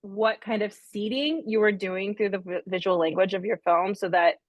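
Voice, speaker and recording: A woman leads a film Q&A in a loose, chatty way, peppering her questions with filler words. Her pitch is in the middle range, and the sound is dry with no room echo. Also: fairly even intonation, normal speed, dull audio. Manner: chatty, lots of "like" and "right?". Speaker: Q&A moderator. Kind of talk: casual moderating.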